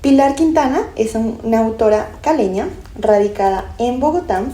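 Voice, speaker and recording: A woman's voice: fairly high pitch, dark tone, reverberant. A young Colombian woman from Pasto with a Pasto accent, co-hosting a casual book podcast and talking enthusiastically. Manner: enthusiastic, explanatory, frequent restarts. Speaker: podcast co-host.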